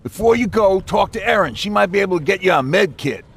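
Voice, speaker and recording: An actor playing an anxious, earnest leader of a survivor group in a video game, chatty and urgent. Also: male voice, high voice, expressive, fast talker, vocal fry, husky, exaggerated voice. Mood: earnest, brisk. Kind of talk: cinematic video game acting.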